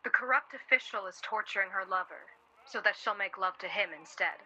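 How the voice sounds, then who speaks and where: Radio play: actress as spirited young 1940s woman, dry wit, crisp forward tone, average pitch. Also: a little fast, pushed and bright, poor audio. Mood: matter-of-fact.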